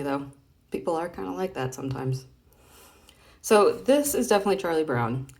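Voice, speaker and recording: A woman with a low-pitched voice gives a casual read-aloud. She is chatty and playful, often hesitating and thinking aloud as she explains. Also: a little mellow. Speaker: vlogger reading comic strips aloud.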